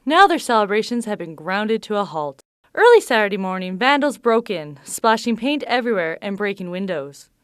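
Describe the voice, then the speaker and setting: A woman's voice: medium pitch, big pitch swings, a bit bright, clean tone, no room sound, studio quality. Young woman reading a news report. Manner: measured reporting cadence.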